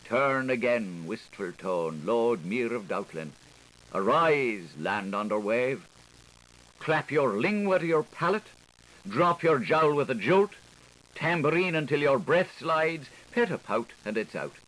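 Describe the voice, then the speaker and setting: A man's voice: medium pitch, lively intonation, measured pace, fairly bright tone, low-quality recording. A man reading experimental literary prose as an audiobook in a theatrical, playful style, with rhythmic delivery of wordplay. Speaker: audiobook reader. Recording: hissy older studio recording.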